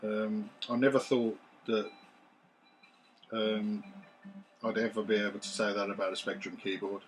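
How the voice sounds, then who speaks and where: A man, his voice a bit rough from recovering after losing it, chatting to camera in a relaxed, rambling way with many ums, recorded on a camera's built-in mic in a small room. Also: fairly low pitch, unhurried, a little hiss or hum, poor audio. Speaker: retro games collector vlogger. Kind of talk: casual chat to camera. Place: small room full of retro computers.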